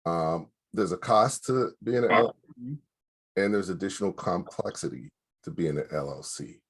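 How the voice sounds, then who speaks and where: A man's voice: low voice, moderate pace, breathy edge. A man giving loose, practical business advice in casual talk, with plenty of fillers. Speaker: speaker advising small business owners.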